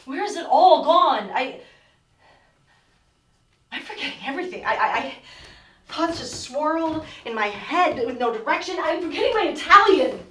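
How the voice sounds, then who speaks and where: A woman's voice: sits high, very animated, fairly bright tone. A woman playing a despairing young woman. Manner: halting, stammering, emotional. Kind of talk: stage play acting. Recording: roomy stage sound from far off.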